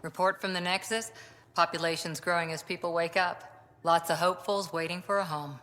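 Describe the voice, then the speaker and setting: An actress playing an earnest former commando who longs to belong, frank and reflective in short clipped phrases, in a video game. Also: female voice, lowish voice, steady tone, brisk, a bit bright, lightly acted. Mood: matter-of-fact. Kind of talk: video game dialogue acting. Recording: long reverberant hall sound.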